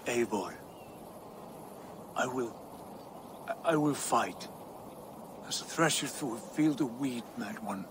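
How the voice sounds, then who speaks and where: An actor playing a dazed, wounded but defiant Viking lord in a video game, halting and strained. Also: male voice, medium pitch, noisy background, close to an everyday voice. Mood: dazed defiance. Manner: halting, stumbling. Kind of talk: dramatic video game acting.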